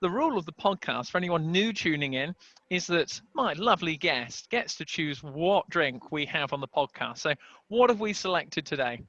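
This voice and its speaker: An enthusiastic podcast host from the UK, a man who piles on superlatives. His voice is bright and forceful, pitched a touch high. It is recorded dry on a headset, with a dull lo-fi tone. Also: lively intonation, normal speed, no echo. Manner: upbeat, enthusiastic, lots of superlatives. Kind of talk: casual interview hosting.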